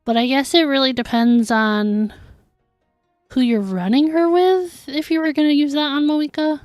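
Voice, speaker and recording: A woman's voice: high-pitched, fairly even intonation, moderate pace, darkish tone, no room sound, clean studio sound, soft background music. A woman livestreaming a video game, chatting casually and thinking aloud as she answers viewers. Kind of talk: casual chat while playing a video game.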